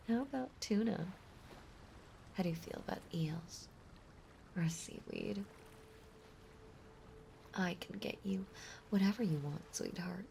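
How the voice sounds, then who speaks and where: A woman playing a possessive, lovesick mermaid monster girl in an intimate audio roleplay, breathy and often whispering, with a doting, clingy delivery. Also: lowish voice, mellow, a little hiss or hum. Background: ocean ambience.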